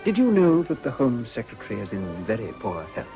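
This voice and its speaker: An actor playing an ambitious moral crusader, a gentleman with a smooth, formal, measured and insinuating manner in a radio drama. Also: medium pitch, huge pitch swings, over music, low-quality recording. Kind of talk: period radio drama acting.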